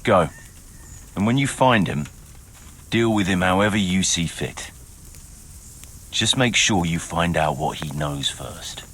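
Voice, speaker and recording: A man playing a gruff, pragmatic medieval patrol commander in a video game, commanding, earthy and plain-spoken. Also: low voice, lively intonation, takes it slowly, pauses often, fairly bright tone, slight background noise, full character voice. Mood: firm, wary. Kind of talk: video game cutscene acting.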